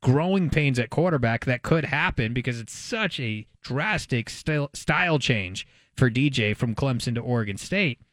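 A man's voice: medium pitch, expressive, normal speed, a bit bright, no room sound. An American man hosting a sports talk show, analyzing in a casual, conversational way as he thinks aloud.

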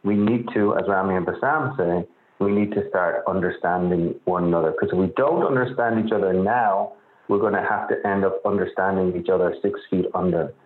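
A man's voice: low voice, brisk. An Irish man, an author, answering interview questions earnestly and often repeating words as he searches for phrasing. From Ireland. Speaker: author guest. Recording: echoey tinny phone-like line.

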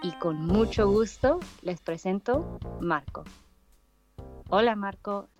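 A woman's voice: medium pitch, lively intonation, slow, music in the background. A woman, hosting a casual conversational interview podcast. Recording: dry, slightly dull lo-fi podcast audio.

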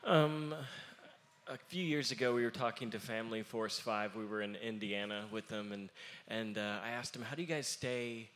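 A young man in a family band, speaking earnestly at a panel talk with many pauses and fillers. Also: fairly low pitch, a bit bright.

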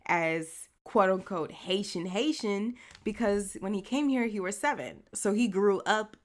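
A young woman co-hosting a podcast, talking in a reflective, agreeable casual chat. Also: medium pitch. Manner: reflective, agreeable, quick to laugh. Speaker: podcast co-host.

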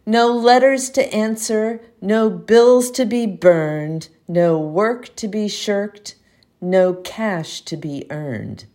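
A woman reciting a poem aloud as an amateur reader, with measured, expressive phrasing. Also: medium pitch. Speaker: community poetry reader. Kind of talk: poetry recitation.

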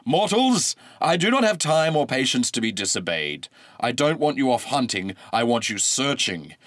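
Casting: booming imperious god, wrathful and vengeful, read by a man, dry close sound. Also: animated, normal speed, a bit bright, no echo, natural voice. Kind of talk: storybook reading.

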